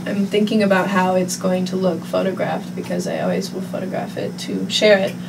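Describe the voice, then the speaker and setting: A woman artist as a guest on a podcast, easygoing and quick to laugh, thinking aloud as she explains her work. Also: fairly low pitch, fairly even intonation, gravelly, distant mic, noisy background. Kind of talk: casual interview chat. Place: podcast studio. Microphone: set back from a studio mic.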